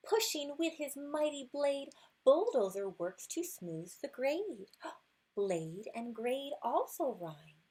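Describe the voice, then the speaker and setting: A woman reading a rhyming children's picture book aloud expressively, unhurried, with hushed moments and little asides. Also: high voice. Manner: expressive, unhurried, drops to hushed whispers at good nights. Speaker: storytime reader.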